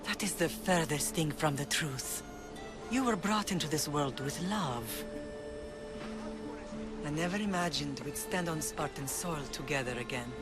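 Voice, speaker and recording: A woman playing a stern, proud and loving middle-aged Spartan mother in a video game, speaking with measured firmness. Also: low-pitched. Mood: tender, solemn. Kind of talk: cinematic video game acting. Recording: music under the voice.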